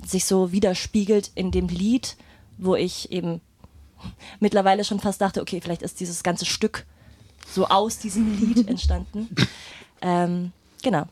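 A woman's voice: mid-range voice, fairly even intonation, normal speed, a little mellow, slightly rough. A woman chatting casually as an interview guest, telling her story in a hesitant, thoughtful way. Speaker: guest performer, singer and guitarist. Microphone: microphone.

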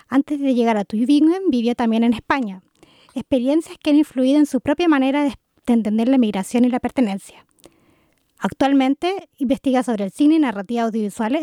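A woman hosting a radio show, reading a scripted introduction in a measured, clear way. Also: high voice, mellow, no echo, clean studio sound. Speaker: radio host. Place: radio studio.

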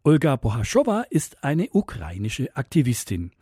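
A man hosting a campus radio show, reading a measured, scripted introduction of the guests in a studio. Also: medium pitch, huge pitch swings, mellow, slightly rough, no room sound. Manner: measured, announcing. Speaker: radio host.

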